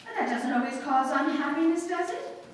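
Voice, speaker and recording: An actress playing a haughty, theatrical young society bride in an old-time radio comedy, performed live on stage. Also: female voice, sits high, expressive, moderate pace. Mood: mock-gracious, gushing. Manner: grand, theatrical, mock-gracious. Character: haughty young society bride, imperious, gushing with mock sweetness. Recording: echoey stage hall, distant mic, faint noise.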